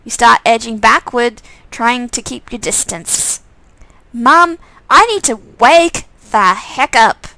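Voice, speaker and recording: A woman's voice: fairly high pitch, slow pace, sharp tone, dry recording, heavily acted, low-quality recording. A woman voicing an uneasy, embarrassed grown-up daughter in a visual novel, sardonic and exasperated. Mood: alarmed. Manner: reluctant, exasperated.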